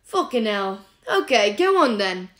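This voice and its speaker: A surly teenage boy wizard, voiced by a woman reading a story aloud. He snaps and grumbles in a gravelly, lively voice. His delivery is irritable and ready to rant. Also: mid-range voice, brisk. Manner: irritable, muttering, then ranting.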